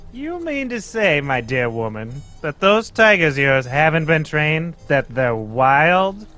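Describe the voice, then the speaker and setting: A man's voice: fairly high pitch, big pitch swings, a little slow, pushed and bright, faint music behind, full character voice. A man reading a pompous, florid old-fashioned actor in a live old-time radio table read. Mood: grandiose. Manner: florid, theatrical, says "me dear".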